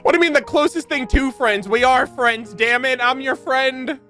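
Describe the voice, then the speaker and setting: A man's voice: very high voice, normal speed, bright, forceful tone, soft background music. A man hosting a gaming video, giving casual, emotionally invested reaction commentary in an informal way.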